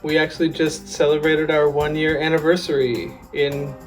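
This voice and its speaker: A man telling the story of how he met his partner in a relaxed, casual way, with some laughter. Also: fairly high pitch, moderate pace, distant mic, poor audio. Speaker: interviewee. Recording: voice a bit off-mic with soft music. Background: soft guitar music.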